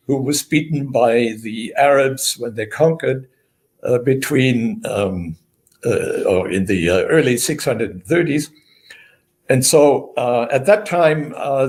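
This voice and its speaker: An older man from Germany with a German accent, answering interview questions as a historian, measured with frequent fillers. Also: medium pitch, a little mellow. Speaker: guest historian.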